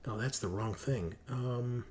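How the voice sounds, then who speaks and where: A man's voice: medium pitch, measured pace, darkish tone, slight background noise. A man running a tabletop game, explaining rules and describing scenes in a casual, profane, teasing way. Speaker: game master. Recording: music playing behind the voice. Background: music.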